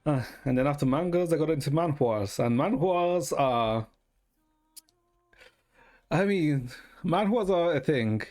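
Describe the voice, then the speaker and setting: A man's voice: fairly high pitch, lively intonation, a little slow, pauses often, a little mellow. A man from Ecuador chatting casually to his live stream viewers in a rambling, informal way, swearing freely. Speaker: livestreamer. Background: music.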